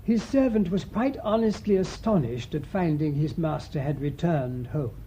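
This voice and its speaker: A British man acting a thoughtful, shrewd priest sleuth in a radio mystery, speaking in a measured, deliberate way. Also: animated, warm tone, close to an everyday voice. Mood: shrewd, assured. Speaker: actor in the lead detective role. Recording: muffled radio recording with faint rumbling noise.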